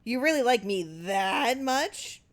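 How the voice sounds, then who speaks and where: A woman's voice: fairly high pitch, expressive, slow, pitched above the performer's own voice. A woman voicing a brash but insecure male film producer in an audiobook story, with a blurting, eager manner. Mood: softly stunned.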